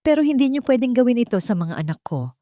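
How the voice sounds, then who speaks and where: A woman voicing a father who is holding back his anger, his voice hoarse and tight. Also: big pitch swings, fast, warm tone, no echo, clean studio sound. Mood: restrained anger. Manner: hoarse and restrained, rising in pitch.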